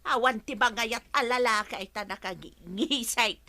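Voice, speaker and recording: A woman's voice: a little high, a little slow, pushed and bright, no echo. A woman acting a mocking, pushy village gossip in an Ilocano radio comedy drama, with an Ilocano accent.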